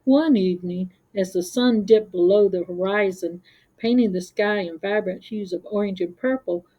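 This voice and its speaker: A woman's voice: mid-range voice, normal speed, dark tone, poor audio. A woman storyteller reading a scripted children's story.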